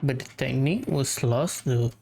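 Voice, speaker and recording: Casual gameplay commentator, musing in short reactions, soft game music behind. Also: mid-range voice, normal speed, gravelly. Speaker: game streamer.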